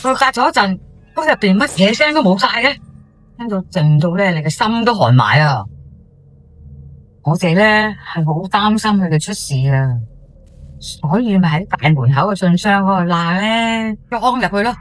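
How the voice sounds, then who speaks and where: A woman's voice: mid-range voice, expressive, normal speed, natural voice. An actress with a Hong Kong Cantonese accent playing a chatty, dramatic housewife neighbour telling her tale in an audio drama. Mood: gossipy, worried, then shocked. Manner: colloquial, exclamatory, gossipy. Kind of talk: podcast audio drama monologue.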